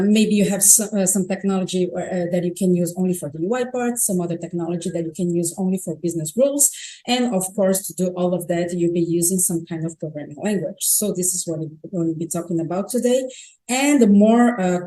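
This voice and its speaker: A woman's voice: fairly low pitch, a little fast, mellow, rough recording. A Brazilian woman, a native Portuguese speaker, giving a conference talk on stage in a chatty way, often restarting her sentences. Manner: chatty, self-correcting, frequent restarts.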